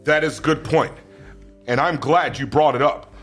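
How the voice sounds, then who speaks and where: A man's voice: on the high side, normal speed, fairly bright tone, soft background music. A man reading a comedic script aloud as a boastful, scheming action-movie villain, declaiming melodramatically. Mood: smug, explaining. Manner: melodramatic, declaiming, explains his schemes at length.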